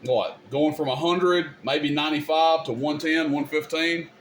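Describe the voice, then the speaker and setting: Higher-voiced man giving a casual demo, noisy background. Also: steady tone, measured pace, a bit bright. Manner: informal, folksy, addressing viewers directly. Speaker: video host demonstrating CB radio gear. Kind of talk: casual hands-on technical demonstration.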